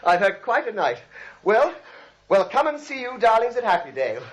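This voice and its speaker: An actor playing an excitable, astonished young man, theatrical and exclamatory, in 1940s screwball drama style. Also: high voice, normal speed. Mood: weary, fond. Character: frantic, astonished grown nephew, excitable and relieved. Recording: muffled vintage 1940s drama recording.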